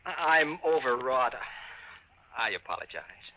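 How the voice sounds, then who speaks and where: Sharp-voiced, flustered young fiancé. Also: male voice, mid-range voice, big pitch swings, unhurried, creaky, exaggerated voice. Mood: nervous pleading. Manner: nervous, stammering, pleading. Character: flustered, exasperated fiancé haunted by a ghost. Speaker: lead character and narrator. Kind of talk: 1950s radio comedy-horror acting. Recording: dull, hissy old radio broadcast.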